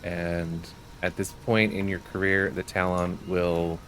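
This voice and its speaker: A man's voice: deep voice, flat delivery, takes it slowly, fairly bright tone, slight background noise. A man giving casual, explanatory commentary as the host of a gaming video. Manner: explanatory, reads quest text aloud.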